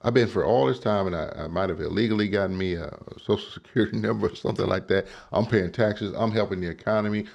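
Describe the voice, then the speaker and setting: A man's voice: on the low side, fast talker, gravelly, hardly any pauses, a little mellow. A man giving emphatic political commentary on a clip, often asking "right?" to make his point. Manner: emphatic, asks rhetorical questions, says "right?" often.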